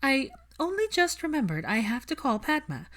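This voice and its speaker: A woman voicing a flustered young woman, cornered and evasive, speaking in a halting, exasperated way. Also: high-pitched, sing-song, fairly bright tone, voice pushed up.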